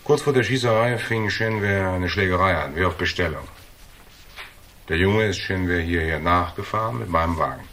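An actor playing a cool, defiant hardboiled investigator in a German radio thriller, dry, laconic and taunting. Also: male voice, sits low, normal speed, fairly bright tone, a little hiss or hum. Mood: insistent. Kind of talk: German radio crime drama acting.

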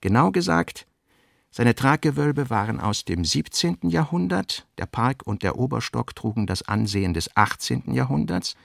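A male narrator reads literary prose for a radio drama. His mid-pitched voice has a clear gravelly creak, captured in a dry studio sound. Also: sing-song, moderate pace, fairly bright tone, dry recording.

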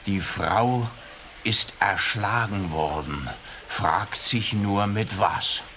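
Sharp, forceful teasing policeman. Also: on the low side, very slow, heavily acted. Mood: matter-of-fact. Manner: teasing, wry. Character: teasing, matter-of-fact male police detective. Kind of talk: radio crime comedy acting. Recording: noticeable background noise and ambience.

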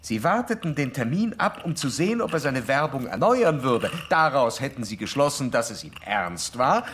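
An actor playing a formal, self-assured psychoanalyst in a radio drama, pressing a patient with analytical insistence. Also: male voice, medium pitch, big pitch swings, moderate pace, a bit bright, a little hiss or hum. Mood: insistent, analytical. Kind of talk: German radio drama acting.

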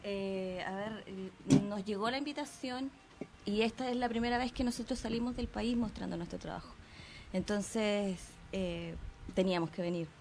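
A woman from Santiago, a theater actor on a radio interview, speaking hesitantly with many pauses. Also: mid-range voice, fairly even intonation, noisy background. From Santiago, Chile.

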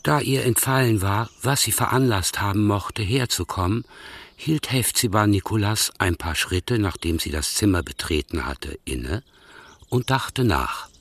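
A man narrating a literary radio play in a husky voice, measured and musing. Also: lowish voice, expressive, a bit bright, no echo.

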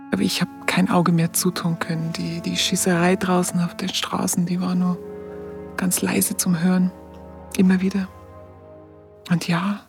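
An actress playing a tender, simple-hearted woman with a Bavarian accent, remembering in halting, colloquial half-whispers in a radio drama. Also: lowish voice, creaky. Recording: music under the voice.

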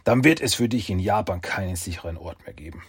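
A man's voice: low-pitched, quick pace, breathy edge. A man voicing an older male martial arts master who speaks urgently and fatherly.

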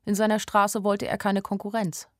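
A woman's voice: medium pitch, flat delivery, moderate pace, no room sound, clean studio sound, lightly acted. An actress playing an anxious young woman who narrates a radio play as an intimate inner monologue in short, plain sentences. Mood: grave, numb. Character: anxious young woman narrating her flight, inwardly urgent. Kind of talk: literary radio play narration in present tense.

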